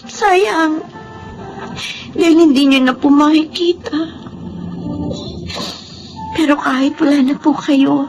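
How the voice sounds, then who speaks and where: A woman playing a devout, tender young orphaned woman in a Filipino radio drama, speaking slowly and with emotion. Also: extremely high pitch, full character voice, low-quality recording. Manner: slow, emotional, earnest.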